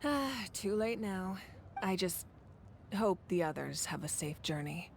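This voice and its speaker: An actress voicing a calm, commanding female ruler in a video game, speaking with measured authority. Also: medium pitch, vocal fry. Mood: gentle, wistful. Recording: loud game music behind.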